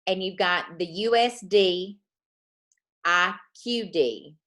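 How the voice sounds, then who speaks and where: A woman teaching a trading lesson, dictating slowly and repeating points, often checking in with "okay?". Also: fairly low pitch, fairly bright tone. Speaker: forex trading coach.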